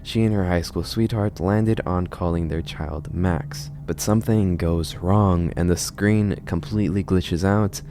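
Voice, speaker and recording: A man's voice: low voice, steady tone, measured pace, hardly any pauses, dark tone. A man hosting a video essay on a horror series, explaining it in a conversational way. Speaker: video host, narrator.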